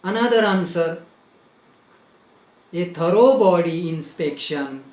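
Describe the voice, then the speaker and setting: Man, Indian English accent, teacher, slow deliberate dictation, fairly high voice, echoey room. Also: lively intonation, darkish tone. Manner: slow, spells out words letter by letter; repeats phrases for dictation. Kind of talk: grammar lesson.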